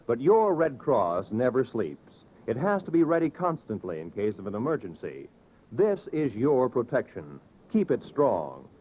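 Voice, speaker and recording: A man's voice: mid-range voice, slight background noise. An American man working as a network radio announcer, reading scripted copy in a polished, emphatic mid-century style. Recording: dull vintage radio recording with music.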